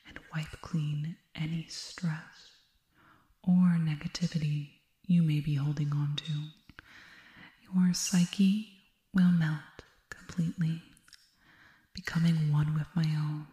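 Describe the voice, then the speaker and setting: A woman voicing a serene, otherworldly alien hypnotist in an ASMR roleplay, speaking in a soft, breathy whisper with deliberate, soothing phrasing. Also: deep voice, roomy sound. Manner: hushed, slow, deliberate, repeating soothing words.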